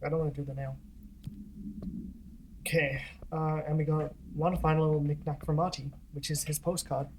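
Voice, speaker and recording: A let's-play host giving casual gameplay commentary in a relaxed, rambling way with frequent laughs. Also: medium pitch, moderate pace, long pauses, a little hiss or hum, poor audio.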